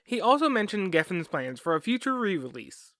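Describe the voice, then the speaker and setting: A man's voice: high voice, very animated, a little fast, sharp tone, no echo, studio quality. A man reading scripted documentary narration as a podcast host.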